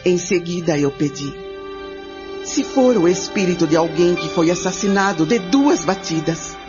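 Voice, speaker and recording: A woman playing a bold, curious nineteenth-century mother questioning a spirit in a radio drama, deliberate and formal. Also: lowish voice, animated, close to an everyday voice. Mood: intrigued, resolute. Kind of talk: radio drama acting, first-person recounting. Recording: muffled radio drama with music behind.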